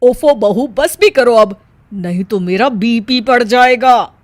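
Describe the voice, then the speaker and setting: A woman's voice: fairly high pitch, slow, no echo. A woman voicing an older mother-in-law in a story, easily exasperated, exclaiming and nagging with emphasis.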